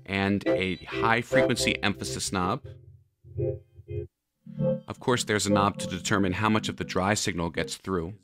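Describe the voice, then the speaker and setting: A man narrating a software tutorial, explaining step by step like an instructor. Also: sits low, fairly even intonation, unhurried, pauses often, music in the background.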